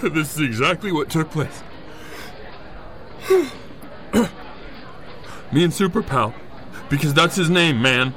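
An earnest, rattled imaginary pal, acted by a man in a comic audio play. His higher male voice moves slowly and haltingly, repeating itself as he testifies. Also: fairly bright tone. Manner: halting, throat-clearing, repeating himself. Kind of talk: comedic monologue in audio drama.

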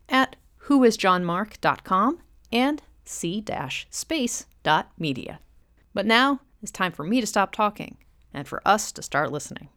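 A woman's voice: mid-range voice, no room sound. Woman podcast host, very dry sound. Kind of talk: scripted introduction narration.

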